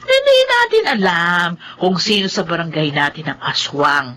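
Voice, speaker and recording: A woman playing an anxious, questioning village mother in a Tagalog horror radio drama, expressive and fretful. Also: on the low side, normal speed. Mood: worried, questioning.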